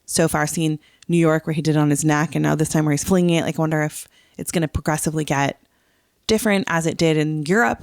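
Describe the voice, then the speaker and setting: Female co-host, casual fan chat. Lowish voice with noticeable fry, filler-heavy conversational delivery, close dry sound. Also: steady tone, fast, no echo. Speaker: podcast co-host.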